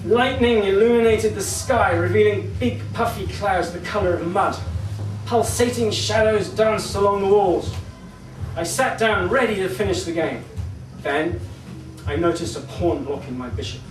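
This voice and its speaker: Man, high voice, dramatic storyteller, live book reading, far from the mic. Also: moderate pace, soft background music. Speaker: author reading own story. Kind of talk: live literary reading of a first-person short story. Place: indoor book launch event at a London venue. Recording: distant, echoey live recording in a venue.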